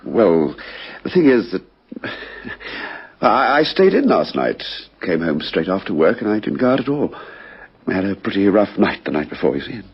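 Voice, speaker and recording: An actor playing a nervy, evasive murder suspect in a radio crime drama, hesitant and defensive. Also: male voice, deep voice, big pitch swings, moderate pace, husky, full character voice. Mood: evasive, nervous. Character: evasive, nervy male friend of murdered gambler, under questioning. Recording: dull vintage radio recording.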